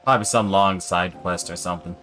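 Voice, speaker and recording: A man's voice: sits low, fairly even intonation, quick pace, fairly bright tone, faint music behind. A man doing casual live-stream game commentary in a laid-back way, muttering asides.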